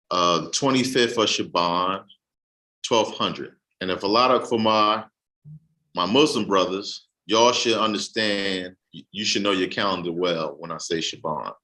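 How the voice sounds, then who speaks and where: A man giving a casual explanatory talk, reading a text aloud with halting restarts. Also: lowish voice, pushed and bright, poor audio. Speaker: host.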